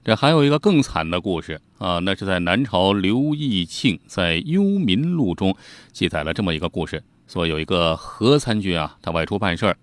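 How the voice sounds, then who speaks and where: Male storyteller, colloquial and animated, teasing asides, very dry close sound. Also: low-pitched, dry recording. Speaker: storyteller, host. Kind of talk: informal storytelling narration of old ghost tales.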